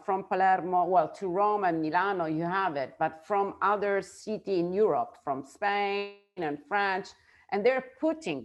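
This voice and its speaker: A woman with an Italian accent, a native Italian speaker, chatting on a video call and often pausing to search for words. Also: medium pitch, highs cut off. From Italy. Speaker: guest. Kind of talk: casual video call chat.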